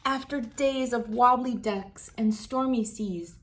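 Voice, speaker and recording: A woman hosting a read-aloud, narrating for children with expressive, deliberate phrasing. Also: on the high side.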